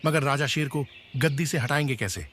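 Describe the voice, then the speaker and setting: A man's voice: fairly high pitch, fairly quick, fairly bright tone, a little hiss or hum, natural voice. A man voicing a tiger who speaks for the jungle animals in a cartoon fable, deferential yet firm. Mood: doubtful. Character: tiger subject, doubtful spokesman of jungle animals. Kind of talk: Hindi animated moral story dialogue.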